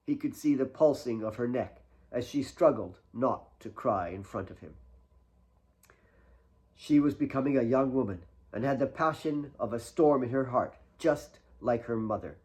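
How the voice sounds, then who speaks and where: A man's voice: mid-range voice, moderate pace, darkish tone. A man reading his own novel as a dramatic audiobook narration.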